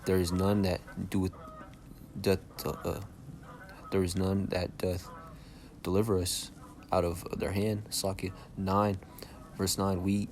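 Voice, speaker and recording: A young man with a very low, soft-spoken voice teaches scripture in a loose, conversational way. His delivery stays flat and level, and he keeps checking in with his listeners. A clear hiss of background noise runs under the recording. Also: takes it slowly, pauses often. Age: young adult. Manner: casual, checks in constantly with "all right?" and "okay?". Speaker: Bible teacher.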